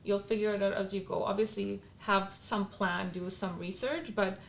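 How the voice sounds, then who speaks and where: A woman's voice: mid-range voice, fairly even intonation, normal speed, gravelly, slight background noise. A Polish-born woman with a Polish accent, chatting candidly as a guest podcast co-host and laughing often. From Poland. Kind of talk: casual chat.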